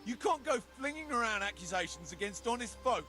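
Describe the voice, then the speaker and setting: An actor playing a suspicious, defensive medieval villager in video game dialogue. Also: male voice, extremely high pitch, sing-song, brisk, pushed and bright, soft background music, full character voice. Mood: indignant. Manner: defensive, scolding.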